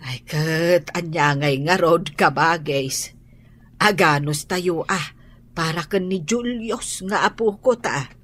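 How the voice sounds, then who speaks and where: A woman's voice: fairly low pitch, takes it slowly, a bit bright. A woman playing a kindly, patient old grandmother in a radio drama, with an Ilocano accent and many sighing interjections. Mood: calm, resigned.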